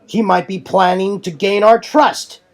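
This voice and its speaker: A man's voice: sits high, fairly even intonation, unhurried, voice pushed up. A man playing an anxious male soldier with a tense, formal manner. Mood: suspicious.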